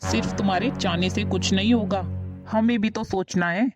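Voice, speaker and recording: A woman voicing a stern, controlling middle-aged uncle in an animated family drama. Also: medium pitch, moderate pace, music in the background, close to an everyday voice. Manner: stern, dismissive, laying down rules.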